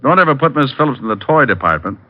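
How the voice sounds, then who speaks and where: A man's voice: medium pitch, sing-song, quick pace, close to an everyday voice. A man playing a flippant, teasing private detective in mid-century radio drama style, full of slang. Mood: irked. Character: wisecracking, playful male private detective, teasing his girlfriend. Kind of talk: 1940s-50s radio detective drama acting. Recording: dull old-time radio recording.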